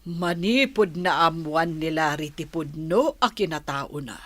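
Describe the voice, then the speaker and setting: Lady playing old ilocano granny in a radio drama, slow talker, lowish, doting and fretful. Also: expressive, fairly bright tone, no room sound, heavily acted. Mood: wondering, concerned. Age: older.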